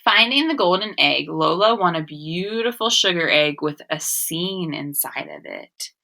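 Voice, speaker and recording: A young adult woman reading aloud and teaching young children, expressive and sing-song, often addressing them with questions. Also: on the low side, unhurried, vocal fry. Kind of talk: read-aloud story and phonics lesson for children.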